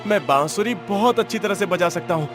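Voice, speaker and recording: A man's voice: high-pitched, animated, a little fast, over music. A man voicing a boastful, eager young drifter in a cartoon story, speaking earnestly and with self-assurance. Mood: pleading.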